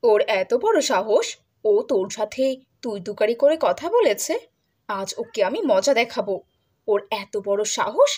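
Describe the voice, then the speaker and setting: A woman voicing a middle-aged stepmother in a cartoon, scornful and scolding, gushing when she dotes. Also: on the high side. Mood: outraged. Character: cruel middle-aged stepmother, doting on her own daughter, scornful. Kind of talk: Bengali cartoon dubbing.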